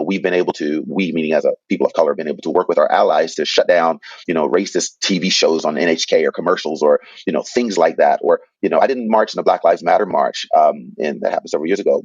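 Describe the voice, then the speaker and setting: A man's voice: low-pitched, darkish tone, slightly rough, no echo, highs cut off. A middle-aged man telling a personal story in an interview, earnest and rambling, with many restarts. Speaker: guest.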